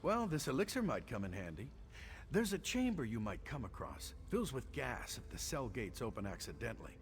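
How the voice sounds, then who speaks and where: An actor playing a chatty, sardonic prison engineer in a video game. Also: male voice, medium pitch, very animated, moderate pace. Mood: sardonic, explaining. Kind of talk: video game character acting. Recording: faint game music under the voice.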